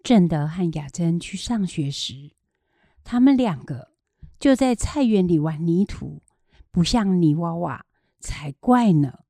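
A woman's voice: fairly low pitch, animated, slow, creaky, dark tone, no room sound, studio quality, exaggerated voice. A woman acting a weary, worried old grandmother, plain-spoken and mildly scolding, in a radio drama. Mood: worried, firm.

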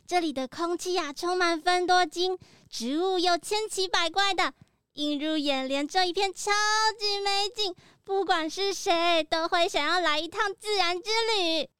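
A woman's voice: very high-pitched, unhurried, fairly bright tone, dry recording. A woman playing a bubbly, nature-loving little pig child in a children's audio drama. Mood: admiring.

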